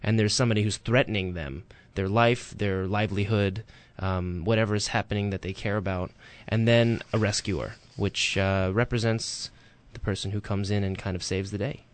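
A man co-hosting a talk radio show, explaining things calmly with fillers. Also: fairly low pitch, moderate pace, few pauses, no room sound. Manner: reads a listener letter aloud, explains calmly with fillers. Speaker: radio co-host.

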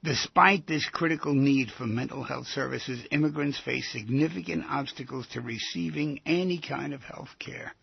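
A man's voice: on the high side, gravelly, slightly rough, dry recording. A man hosting a conversational radio interview, probing his subject with repeated and rephrased questions. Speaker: radio show host. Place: radio studio. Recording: dull, muffled lo-fi radio audio.

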